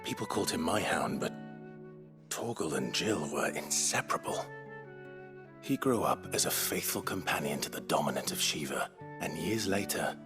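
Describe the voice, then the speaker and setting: An actor with an English accent playing a brooding, dutiful fantasy game hero, measured and earnest. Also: male voice, extremely low pitch, expressive, music in the background. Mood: solemn. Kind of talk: video game drama acting.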